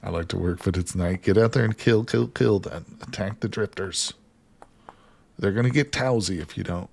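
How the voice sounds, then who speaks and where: A man with a low, gravelly voice chats casually while he plays a survival game live. He greets viewers by name and jokes with them between tasks, typing now and then at a keyboard. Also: normal speed, a little mellow. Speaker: live streamer.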